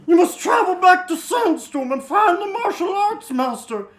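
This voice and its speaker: Fantasy mentor, acted by an amateur reader: extremely high voice, swooping and emphatic, earnest, roomy echoing recording. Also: very animated, normal speed. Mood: encouraging. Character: Noblin, earnest mentor sending a young hero off.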